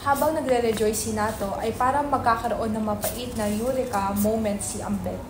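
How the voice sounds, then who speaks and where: A woman's voice: on the high side, fairly even intonation, normal speed, background noise. A woman reading stage directions as scripted narration in a dramatic reading.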